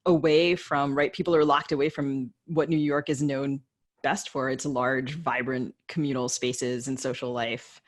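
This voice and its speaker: A woman's voice: a bass voice, fairly even intonation, measured pace. An American woman co-hosting a podcast, asking wordy, informal questions with self-deprecating personal asides. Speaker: podcast co-host, interviewer.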